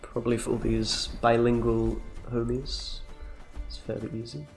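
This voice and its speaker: A young man talks casually through his card game from a small room, joking and reacting out loud as he plays. His voice has a gravelly, fry-edged texture, and faint game music runs underneath. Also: mid-range voice, little pitch movement, normal speed. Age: young adult. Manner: chatty, jokey, reacts aloud to plays. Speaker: streamer. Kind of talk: casual gameplay commentary.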